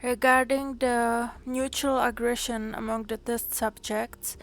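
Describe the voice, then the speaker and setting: A woman streamer reading in-game notes aloud slowly and carefully, sometimes going back over a word. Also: on the high side, fairly even intonation, a bit bright. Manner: slow, careful reading with small restarts.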